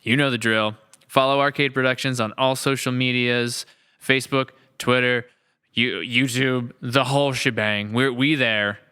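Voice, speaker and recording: Bright, clear-voiced man hosting a podcast. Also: mid-range voice, fairly even intonation, moderate pace. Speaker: podcast host. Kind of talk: casual podcast chat.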